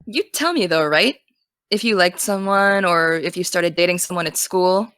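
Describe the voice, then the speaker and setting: A woman's voice: lowish voice, moderate pace, fairly bright tone, clear, resonant tone, dry recording, lightly acted. Woman playing a teasing, chatty American teenage girl, dry sound. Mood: probing. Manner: casual, teasing, earnest. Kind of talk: naturalistic audio drama dialogue.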